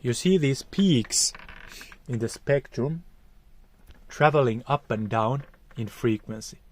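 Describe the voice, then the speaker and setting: A man's voice: medium pitch, takes it slowly, a little mellow. A man with a Finnish accent teaching vocal technique, explaining acoustics in a careful, hesitant way. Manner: careful, hesitant, searching for words.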